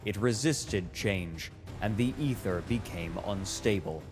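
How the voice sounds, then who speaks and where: A man narrating a mythic visual novel in a grave, deliberate, scripted style. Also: on the low side, music in the background. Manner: solemn, deliberate. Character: grave male narrator of myth and history.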